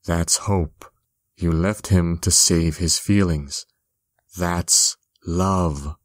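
A woman's voice: rumbling low, steady tone, extremely slow, no echo, heavily acted. A woman voicing a stern, wise bartender made of fire in a cartoon comic dub, firm and matter-of-fact. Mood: patient, firm. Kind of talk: fan comic dub acting.

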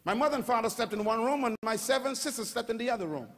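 An older Bahamian man preaches from a large stage into a handheld mic. His voice sits high and rises to shouts as he calls on the crowd to answer. A long reverb from the hall trails each phrase. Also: lively intonation, quick pace. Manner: preaching with call-and-response, rising to shouts. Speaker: pastor. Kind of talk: sermon.

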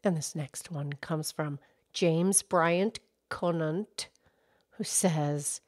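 A woman voicing a calm, knowledgeable grown-up cow puppet, speaking in a soft, measured, teacher-like way in a children's puppet show. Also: deep voice, no room sound, clean studio sound. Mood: gentle, measured.

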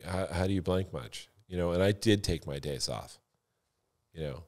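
A man's voice: low voice, normal speed, darkish tone. A man in casual podcast banter, telling sales stories assertively and repeating himself for emphasis. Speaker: former car salesman and floor manager, podcast talker.

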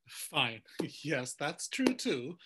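A middle-aged man moderating in a scripted comic exchange, hesitant and halting. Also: a little high, very animated, bright, forceful tone, a little hiss or hum. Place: indoor room at night.

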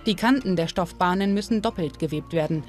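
A woman's voice: on the low side, brisk. Woman narrating a documentary over music. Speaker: documentary presenter.

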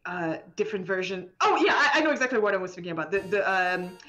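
A woman game developer chatting casually as an interview guest, rambling in an animated, giggly, hesitant way. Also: medium pitch, quick pace, soft background music. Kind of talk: casual spoken interview chat.

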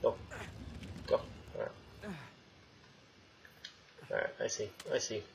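A young man giving casual, sweary let's play commentary, grumbling and talking to himself and the game. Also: slow pace. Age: young adult. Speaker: gaming video host. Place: room indoors at home. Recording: game noise behind the voice. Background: video game sounds.

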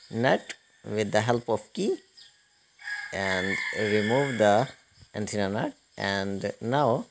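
A man hosting a hands-on electronics repair tutorial, explaining step by step in a halting manner. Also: lowish voice. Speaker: host of electronics repair channel. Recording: clearly noisy recording with clicking.

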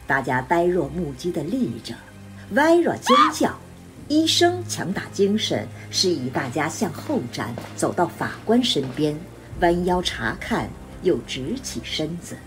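A woman narrating a scripted radio drama as the storyteller. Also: on the low side. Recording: music behind voice.

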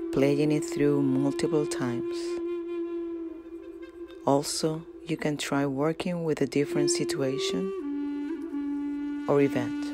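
A meditation guide speaks at a very unhurried pace, with gentle, deliberate instructions. Loud flute music plays throughout behind the voice. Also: mid-range voice. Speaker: meditation guide, channel host.

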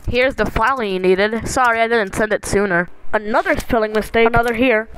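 A woman improvising a cartoon fan dub as an amateur voice-over performer, with an excitable, exclamatory, sweary manner. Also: on the high side, fairly quick, smooth. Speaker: amateur voice-over performer dubbing animated shorts. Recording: noisy home recording with clicks.

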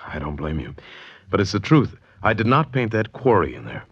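Deep-voiced troubled artist, old radio. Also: male voice, sing-song, normal speed, husky, exaggerated voice. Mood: troubled, insistent. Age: 30s. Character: troubled artist in his thirties, earnest, haunted. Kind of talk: 1940s radio drama acting. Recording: dull vintage radio recording with noise.

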